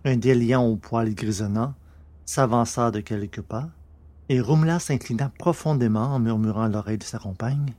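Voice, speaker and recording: A man narrating a science fiction story from a script in a measured, literary manner. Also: mid-range voice, dark tone.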